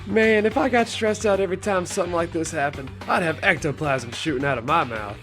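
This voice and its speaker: A man's voice: on the high side, brisk, fairly bright tone, faint music behind. A man voicing a laid-back, optimistic teenage slacker with casual phrasing, in an anime game fan dub. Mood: breezy.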